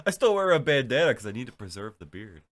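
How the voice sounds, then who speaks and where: A man's voice: medium pitch, big pitch swings, a little fast, a bit bright. A young man with a stuffed-up nose hosting a casual chat, rambling with lots of fillers and giggles. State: stuffed up from allergies. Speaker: podcast host.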